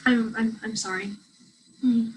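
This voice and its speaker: Woman acting guarded young student, higher voice. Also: steady tone, a little slow, background noise, lightly acted. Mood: guarded, conflicted. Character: guarded, self-doubting female international college student, soccer striker. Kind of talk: virtual staged play reading. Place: remote virtual reading over video call.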